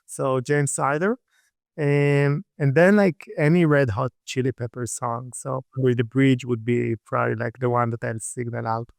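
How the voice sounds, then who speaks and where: A man's voice: mid-range voice, moderate pace, vocal fry, dry recording, studio quality. Israeli-accented man, thirties, easygoing guest, clean resonant voice. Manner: relaxed, fillers and restarts, laughs lightly. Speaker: guest, startup CEO. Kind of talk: casual interview talk.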